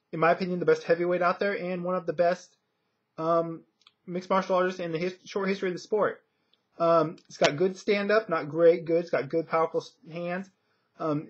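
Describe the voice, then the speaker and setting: A man with a slightly high, rough-edged husky voice gives casual sports commentary. He speaks off the cuff in a halting, conversational way. The audio is dull and lo-fi, like a webcam recording. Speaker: fight commentator and video blogger. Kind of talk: casual unscripted fight prediction vlog.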